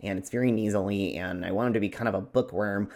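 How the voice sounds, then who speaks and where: A man's voice: fairly low pitch, fairly even intonation, fast, a little mellow, close to an everyday voice. A man voicing a nerdy bookworm fantasy character, with a nasal voice placed forward in the mouth. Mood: bookish.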